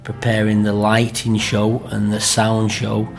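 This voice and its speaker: A middle-aged man from an amateur cast talks in a Greater Manchester accent. His voice sits low and stays fairly level, with plenty of gravel in it. He chats in a rambling way, checking facts with little tag questions. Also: completely flat delivery, measured pace, faint music behind. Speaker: amateur pantomime performer being interviewed. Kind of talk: chatty interview recollection.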